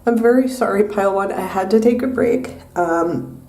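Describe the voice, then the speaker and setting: A young woman giving a tarot reading in a soft, unhurried way with many pauses and ums, her voice nasal from a cold. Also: mid-range voice, distant mic. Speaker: tarot reader. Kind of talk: pick-a-card tarot reading. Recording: echoey room, mic set back.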